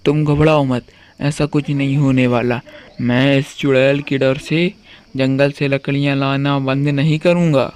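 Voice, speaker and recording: A man's voice: mid-range voice, little pitch movement, measured pace, darkish tone, clear, resonant tone, lightly acted. A man playing a timid but crafty village woodcutter in a cartoon story. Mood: reassuring.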